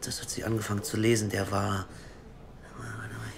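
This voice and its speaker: A man's voice: on the low side, creaky, a crowd in the background. An actor playing a cocky, teasing male hacker in an audio drama. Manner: teasing and jokey, rushes when excited. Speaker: hacker colleague.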